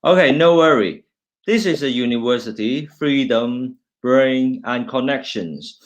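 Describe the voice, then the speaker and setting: A middle-aged man from Hong Kong with a Hong Kong accent and Cantonese as his first language, an engineering lecturer giving an informal, halting talk full of restarts. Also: medium pitch, lively intonation. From China, raised in Hong Kong. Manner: halting, with many restarts, switching between English and Cantonese. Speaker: university lecturer, guest speaker. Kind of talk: informal talk presenting slides.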